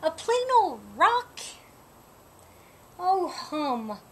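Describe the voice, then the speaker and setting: A woman voicing an excited, cheerful young girl hippo in a storybook, exclaiming eagerly. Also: very high voice, drawn-out words, slight background noise, pitched above the performer's own voice, full character voice. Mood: unimpressed. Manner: exuberant, exclaims and repeats words eagerly.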